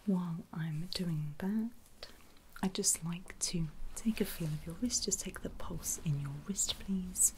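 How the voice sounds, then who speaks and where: Softly whispering woman, flat and gentle. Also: lowish voice, unhurried, slight background noise. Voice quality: soft, mostly unvoiced breathy whisper. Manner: gentle, reassuring, step-by-step instructions. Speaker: ASMR performer playing a pre-op medical examiner. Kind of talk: whispered ASMR medical roleplay.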